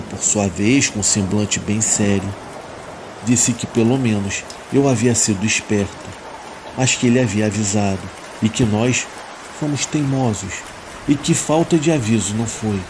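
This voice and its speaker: A Brazilian man narrates a supernatural tale in the first person, reading from a script. His voice sits on the low side, and a soft bed of rain runs behind it. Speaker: narrator of listener-submitted horror stories. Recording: steady rain noise behind the voice. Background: rain ambience.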